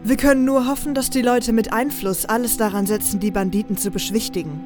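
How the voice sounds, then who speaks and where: A woman's voice: fairly high pitch, brisk, lightly acted. Earnest, level-headed woman playing a camp woman over music. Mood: worried, practical. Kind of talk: fantasy audio drama.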